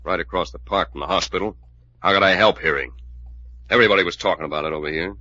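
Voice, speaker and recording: An actor playing a gruff, bossy, suspicious bedridden tough man in 1940s radio drama, American accent, terse and slangy. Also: low-pitched, fast, sharp tone, no echo. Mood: suspicious, probing. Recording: dull old radio recording.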